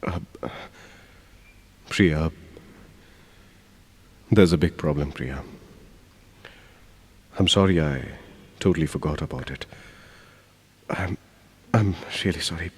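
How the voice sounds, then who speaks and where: A man's voice: rumbling low, slow, long pauses, breathy edge, in a large hall, full character voice. An actor with an Indian English accent playing a hesitant, emotional young man in an audio drama. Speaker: young man in a radio play.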